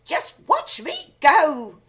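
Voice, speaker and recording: A woman's voice: sits high, very animated, extremely slow, a bit bright, voice pushed up, heavily acted. A woman voicing a screechy, gleeful old grandmother who shrieks and whoops. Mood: wild.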